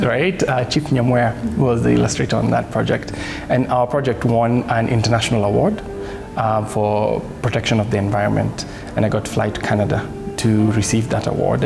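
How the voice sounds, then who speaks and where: A man's voice: mid-range voice, gravelly. A man, telling about himself in a profile interview. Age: 30s. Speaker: interviewee, video game company CEO.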